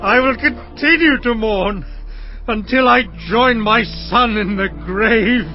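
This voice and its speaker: Aged biblical father: high, deliberate, emotive, authority and tenderness, muffled over music. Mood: anguished grief. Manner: slow, emphatic, emotive. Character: elderly patriarch father, stern yet deeply loving. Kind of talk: dramatized scripture audio acting. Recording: dull audio over background music.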